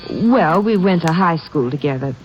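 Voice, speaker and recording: An actress playing a prim young phone operator. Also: female voice, fairly low pitch, animated, normal speed, a little hiss or hum, close to an everyday voice. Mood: polite. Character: young female telephone operator, polite. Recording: dull old radio broadcast.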